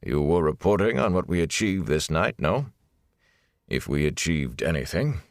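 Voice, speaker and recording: A man voicing a gray-haired lord captain in an audiobook, mild and measured, with quiet authority. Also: very deep voice, animated, no echo, clean studio sound. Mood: gentle rebuke. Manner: mild, gentle, measured rebukes. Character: gray-haired lord captain, calm, mildly commanding older officer.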